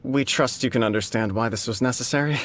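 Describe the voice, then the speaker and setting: Man as composed noble official, polished formal speech, persuasive, mid-pitched, game cutscene. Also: fast, fairly bright tone, slight background noise, natural voice. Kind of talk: video game cutscene dialogue.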